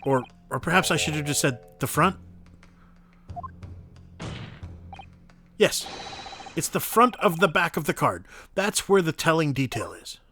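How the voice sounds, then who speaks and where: A man's voice: fairly high pitch, big pitch swings, moderate pace, pushed and bright, soft background music. A man voicing an earnest, nervous young student defending in court in a dramatic video game, polite and easily flustered.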